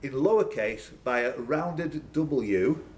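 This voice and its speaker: Informal male quizmaster with lively pitch swings. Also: slow, a little hiss or hum. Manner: informal, teasing, stumbles and self-corrects while reading. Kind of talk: live quiz question reading with banter. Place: indoors at a pub quiz tournament.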